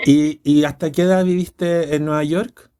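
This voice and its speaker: A man's voice: a little high, steady tone, a little slow, darkish tone, clear, resonant tone. A man with a Chilean accent hosting a podcast interview, upbeat and chatty, often stumbling on repeated words. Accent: Chilean Spanish. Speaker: podcast host.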